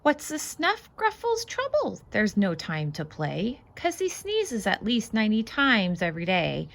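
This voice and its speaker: A woman reads a picture book aloud for children. Her voice sits a little high and swings widely in pitch, with noticeable creak. She leans into the rhymes in an expressive, sing-song way and slips in casual asides. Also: unhurried. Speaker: read-aloud host.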